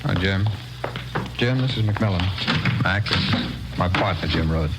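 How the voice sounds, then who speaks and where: A man's voice: low voice, measured pace. A man playing an easygoing, coaxing narcotics dealer in a 1950s radio crime drama. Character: easygoing, smooth-talking male narcotics dealer. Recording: noisy, crackly vintage radio recording.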